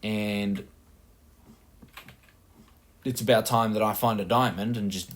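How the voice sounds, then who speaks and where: Aussie bloke yapping over minecraft, deep voice, rambly and self-deprecating, keys clacking. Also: male voice, fairly even intonation, takes it slowly. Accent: Australian. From Australia. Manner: rambling, self-mocking, many fillers. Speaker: gaming channel host. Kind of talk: casual gameplay chat.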